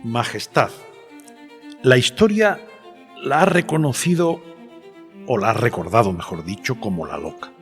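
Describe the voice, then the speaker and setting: Male journalist for audio fiction played by an actor: courteous, deliberate, probing, mid-pitched, light string bed. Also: lively intonation, close to an everyday voice. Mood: measured, respectful. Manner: measured, hesitant, deliberate phrasing. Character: polite, probing male journalist interviewing a historical queen. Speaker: interviewer. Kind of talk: dramatised historical interview, audio fiction. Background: cello and violin music.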